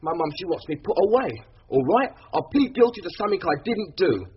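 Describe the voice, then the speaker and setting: An actor playing a sixteen-year-old streetwise defendant in a radio drama, slangy, blunt and defiant. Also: male voice, sits high, very animated, fairly quick, slightly rough, exaggerated voice. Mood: sullen, defiant. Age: teenager as played. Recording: dull radio recording with faint noise.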